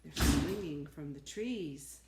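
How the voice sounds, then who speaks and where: A middle-aged woman reading picture books aloud to children with expressive, deliberate phrasing and chatty asides. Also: low voice, gravelly, heavy background noise. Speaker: storytime reader for children. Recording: echoey, roomy sound.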